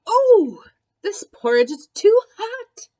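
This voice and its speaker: A woman's voice: extremely high pitch, very animated, slow pace, warm tone, voice pushed up, exaggerated voice. A picky, bold little girl from a storybook, acted out by a woman reading aloud. Each remark is delivered as a fussy exclamation. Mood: dismayed. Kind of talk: children's storybook read-aloud.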